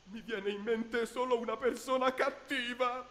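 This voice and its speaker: Man as very high, bright boyish athlete. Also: fairly even intonation, a little slow, full character voice. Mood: wistful. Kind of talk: Italian fan dub of anime.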